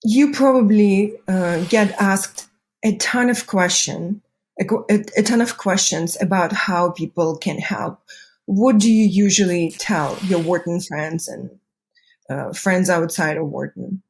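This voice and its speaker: A woman interviewer speaks in a slightly low voice. She hosts in a conversational way, as in a one-on-one interview. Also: measured pace. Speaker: interviewer, show host.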